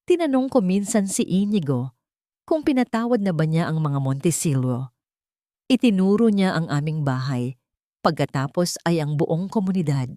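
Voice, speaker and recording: A woman narrates a dramatic audiobook story in a scripted style. Her voice sits on the low side. The sound is dry and close, free of room echo. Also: darkish tone.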